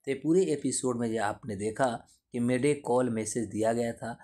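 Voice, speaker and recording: Mellow man teaching. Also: medium pitch, normal speed. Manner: didactic, repeats and rephrases points. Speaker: teacher explaining current affairs. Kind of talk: explanatory lesson to camera.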